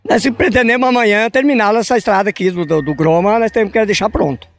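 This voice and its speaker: A man's voice: high voice, quick pace, a little hiss or hum. An older man with a Brazilian Portuguese accent, a public works official, answering questions outdoors on a dirt road with hesitant restarts. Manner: plain, repetitive with hesitant restarts. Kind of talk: interview answers. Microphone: reporter's handheld microphone.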